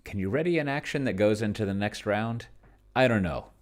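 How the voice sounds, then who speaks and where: Guy with a really deep voice doing casual play-along commentary, kinda rambly and hesitant. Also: expressive, fairly quick. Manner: hesitant, rambling, thinking out loud. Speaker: solo tabletop game player and video host.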